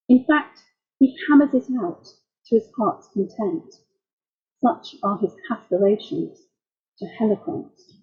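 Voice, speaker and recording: A middle-aged woman lecturing as a translator, explaining jokes in a wry, measured way. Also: medium pitch, husky, narrow, tinny audio. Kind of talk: academic talk.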